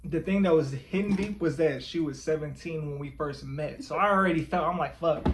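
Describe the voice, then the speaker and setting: A man's voice: a little high, a little fast, background noise. A man in his twenties chatting casually and candidly with his girlfriend, swearing freely. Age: 20s. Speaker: co-host of couples channel. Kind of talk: casual couple chat.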